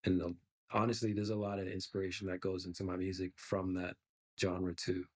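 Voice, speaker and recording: A man's voice: low voice, level tone, fairly quick. A young man who is a musician, answering podcast interview questions in an easygoing, reflective way with lots of fillers. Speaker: musician guest.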